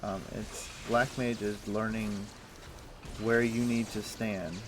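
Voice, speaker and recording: Laid-back low-voiced male streamer. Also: takes it slowly, background noise. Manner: relaxed, rambling, many fillers and restarts. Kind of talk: casual gameplay chat. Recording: music playing behind voice. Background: music.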